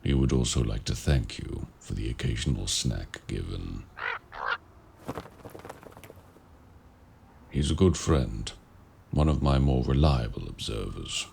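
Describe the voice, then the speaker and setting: Man acting a very deep, gravelly forest warlock. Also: expressive, a little mellow, slight background noise, full character voice. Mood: courteous, composed. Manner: formal, archaic, measured, eloquent. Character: mysterious, courteous forest-dwelling male warlock. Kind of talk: audio roleplay acting, addressing the listener.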